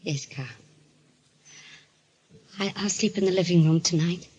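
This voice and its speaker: A woman's voice: fairly low pitch, unhurried, darkish tone, breathy edge, highs cut off. An actress playing an anxious, fragile wife who doubts her sanity, with hesitant, pleading delivery in a 1940s radio thriller style. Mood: subdued. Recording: noisy vintage radio recording.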